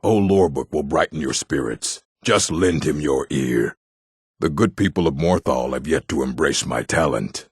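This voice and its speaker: An actor playing a gruff adult male orc from a fantasy stronghold in a video game, with a gravelly, husky voice and a blunt, weighty delivery. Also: rumbling low, moderate pace, no room sound, studio quality, heavily acted. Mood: jovial, theatrical.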